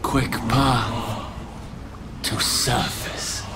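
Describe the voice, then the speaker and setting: An actor voicing a lifeless corpse in a video game, answering in halting, clipped fragments. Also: male voice, mid-range voice, steady tone. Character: reanimated corpse answering questions, weary and lifeless. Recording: music behind the voice.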